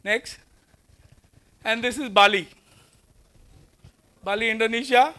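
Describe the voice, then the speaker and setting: A man with an Indian English accent lectures a packed auditorium through a lapel mic. His voice sits unusually high and rings bright. He keeps the talk conversational, firing rhetorical questions at his students. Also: steady tone, slow pace. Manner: walks the stage gesturing while speaking. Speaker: guest lecturer. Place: large auditorium with seated students.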